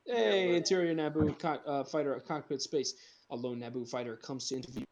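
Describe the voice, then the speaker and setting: A man's voice: medium pitch, a little fast, dull audio, a crowd in the background. A man reading screenplay directions aloud as the narrator of a group script reading.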